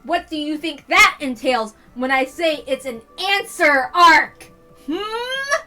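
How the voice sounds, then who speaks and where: A woman's voice: high voice, lively intonation, slow pace, pushed and bright, faint music behind, pitched above the performer's own voice, heavily acted. A woman voicing a brash, skeptical, teasing teenage girl in a visual novel. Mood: brash, insistent.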